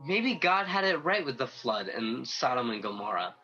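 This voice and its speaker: A man's voice: mid-range voice, very animated, moderate pace, sharp tone. A man playing a restless, doubting angel in a radio play, questioning and discontented. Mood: frustrated, doubting. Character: restless young male angel, doubting and eager for action. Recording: muffled lo-fi video call recording with music.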